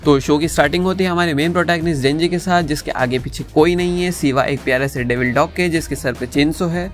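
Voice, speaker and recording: A man's voice: fairly high pitch, fast talker. A man narrating a review as a YouTuber, conversational, mixing in English phrases. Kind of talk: review narration with plot recap. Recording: music under the voice. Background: background music.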